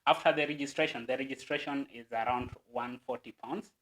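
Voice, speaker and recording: A man's voice: mid-range voice, fairly even intonation, fairly quick, fairly bright tone, poor audio. A Kenyan man, a guest, explaining a process earnestly and at length, with stammered restarts.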